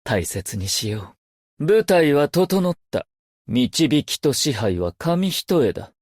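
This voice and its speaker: An actor voicing a brooding, haunted stage performer turned loyal assassin in a video game, with solemn, theatrical and poetic delivery. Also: male voice, on the high side, very animated, takes it slowly, darkish tone, no echo, clean studio sound, full character voice. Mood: solemn, menacing. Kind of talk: scripted game character voice lines, theatrical monologue.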